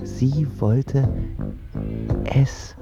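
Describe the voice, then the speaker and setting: An actor playing an awkward, lovestruck young man in a radio drama, recalling his first love in halting, intimate, colloquial narration. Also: medium pitch. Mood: awkward, aroused. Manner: halting, reflective, colloquial asides. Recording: muffled sound under loud music.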